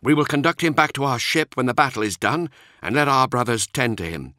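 A stern male warrior captain, as a man plays him, curt and suspicious with a snarling edge. It sounds close and dry, with a bright tone. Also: medium pitch, big pitch swings, fairly quick, no echo, voice pushed up. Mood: wary. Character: stern male space marine leader, wary and curt.

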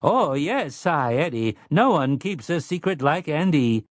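A man's voice: fairly high pitch, very animated, unhurried, dry recording, clean studio sound, voice pushed up. A man voicing a smug, polite male robot with stiff, formal, old-fashioned phrasing in an audiobook. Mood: smug, eager. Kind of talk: audiobook character voice.

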